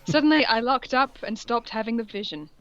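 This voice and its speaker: A woman's voice: fairly high pitch, brisk, fairly bright tone, dull audio. A woman co-hosting a comic reading of fan fiction, narrating mock-seriously and giggly, with asides. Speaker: co-host.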